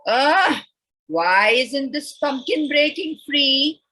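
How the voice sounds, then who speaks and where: A woman voicing a cranky, impatient female witch in a children's story, complaining in a drawn-out, exasperated way. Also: sits high, expressive, sharp tone, exaggerated voice.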